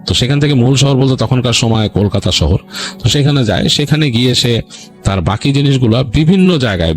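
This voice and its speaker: A man with a Bangladeshi accent narrates a story informally, in a colloquial, rambling way with explanatory asides. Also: medium pitch. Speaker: ghost story narrator on a radio-style show.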